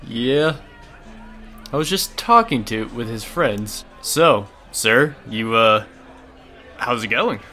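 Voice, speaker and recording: A man playing a friendly, frank sailor in a tabletop game, speaking casually and politely with halting phrases. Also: mid-range voice, lively intonation, sharp tone, soft background music. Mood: friendly, apologetic.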